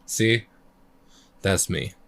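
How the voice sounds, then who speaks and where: A man chatting casually on a live stream, thinking out loud as he works on his music, his voice a little croaky. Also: sits low, extremely slow, a little hiss or hum. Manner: rambling, thinks aloud while working. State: slightly croaky voice. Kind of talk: casual livestream chat.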